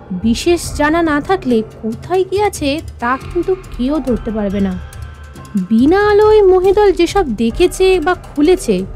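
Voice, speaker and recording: Young female sleuth, high voice, expressive, confident, emphatic, radio drama. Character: young woman detective, sharp-minded, confident, polite. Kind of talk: Bengali radio drama acting.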